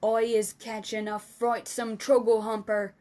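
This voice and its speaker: Woman voicing a giddy excitable big giant. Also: on the high side, measured pace, close to an everyday voice. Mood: distressed. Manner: excited, cries out, mangled words. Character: big excitable male giant with odd grammar.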